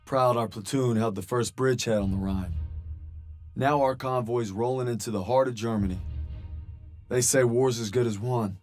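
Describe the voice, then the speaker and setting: A man's voice: medium pitch, normal speed, lightly acted. An actor playing a young soldier who narrates his war memories in a somber, reflective and weary way. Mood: somber, determined. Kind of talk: scripted narration. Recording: loud music bed behind the voice.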